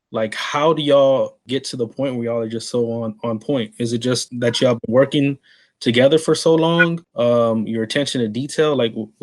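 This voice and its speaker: A man in his forties from Texas hosting a podcast, asking questions in an eager, slangy, friendly way. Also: medium pitch. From San Antonio, Texas. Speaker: podcast host. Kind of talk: casual interview questions.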